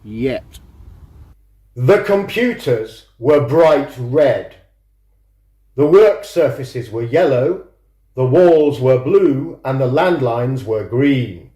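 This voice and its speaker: Slow, animated male storyteller in roomy sound. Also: medium pitch. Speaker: author introducing and reading own book. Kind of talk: scripted introduction and literary story reading.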